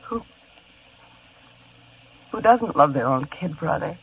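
A woman's voice: low-pitched, animated, slow pace, breathy edge, exaggerated voice. An actress in a 1950s radio play, voicing an anxious, protective young woman who pleads in distress. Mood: distraught. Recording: tinny old radio broadcast with faint hiss.

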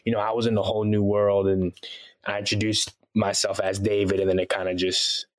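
A man's voice: fairly low pitch, flat delivery, brisk. A young man from Haiti who grew up in Boston, with an American accent, chatting casually as a podcast guest, laughing often and using lots of fillers. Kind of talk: casual interview chat.

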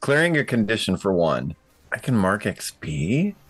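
A man's voice: fairly low pitch, big pitch swings, normal speed, slightly rough, no room sound. A man playing a tabletop roleplaying game, chatting casually about his choices. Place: remote online call.

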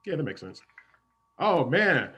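A husky-voiced man improvising sketch comedy. His delivery is halting and loose, with big swings in pitch. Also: big pitch swings, pushed and bright.

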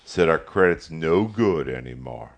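A man's voice: extremely low pitch, expressive, a little slow, heavily acted. A man voicing a proud, determined farmer father in an audiobook, curt and firm. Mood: grim.

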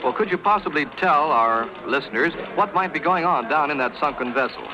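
A man's voice: on the high side, expressive, brisk, dull audio. A man reporting and interviewing in the formal style of 1930s radio news. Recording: noisy 1930s radio broadcast.

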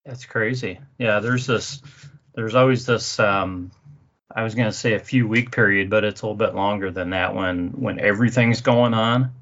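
A man's voice: lowish voice, steady tone, normal speed, a bit bright. Rambling podcast host man. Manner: rambling, many fillers and restarts. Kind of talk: casual podcast chat. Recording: dull lo-fi audio.

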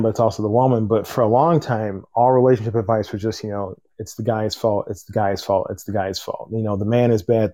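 Mellow-voiced male interviewer, dry sound. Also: medium pitch, no echo. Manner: agreeable, repetitive with fillers. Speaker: interviewer host. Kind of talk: casual interview chat.

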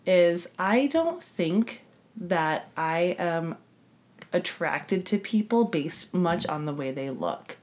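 Woman, thirties, cold-roughened husky voice, deep, dry wit, casual podcast chat. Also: expressive, slow, a little mellow. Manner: wry, dry, laughs often. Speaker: podcast co-host.

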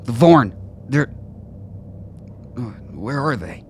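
An actor playing a bitter, paranoid old soldier held captive, agitated and profane, in a radio play. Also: male voice, mid-range voice, sing-song, takes it slowly, darkish tone, a little hiss or hum. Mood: alarmed, agitated. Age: older.

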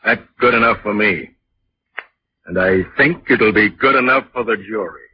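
Actor as stern old-radio police inspector, muffled. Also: male voice, lowish voice, very noisy, natural voice. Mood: stern, accusing. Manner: deliberate, interrogating. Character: stern male police inspector, dry and relentless. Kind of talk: 1940s radio drama acting.

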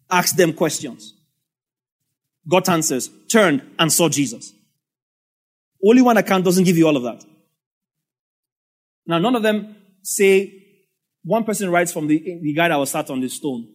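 A man with a Nigerian English accent preaching a sermon as a pastor, telling the story in a conversational way with asides. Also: on the high side.

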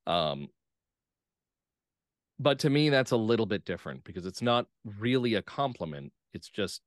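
Male playwright guest: reflective, earnest, measured conversation, close dry sound. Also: fairly low pitch, big pitch swings, no room sound. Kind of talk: reflective interview talk.

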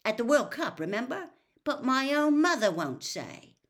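A blunt, grumpy teenage boy grumbles and scoffs in a storybook scene, as a woman narrator puts him on. His voice swings a lot in pitch. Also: huge pitch swings, a little slow, exaggerated voice.

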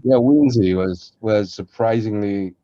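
A man's voice: lowish voice, unhurried, warm tone, no echo, muffled recording. A man who is a non-native English speaker, chatting casually as a co-host in a jovial, encouraging way. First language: not English. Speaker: podcast co-host and voice performer. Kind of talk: casual chat.

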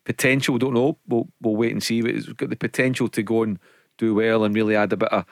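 A man's voice: fairly low pitch, flat delivery, quick pace, vocal fry, no echo, studio quality. A man giving football analysis on radio, rambling with many restarts. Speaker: football pundit.

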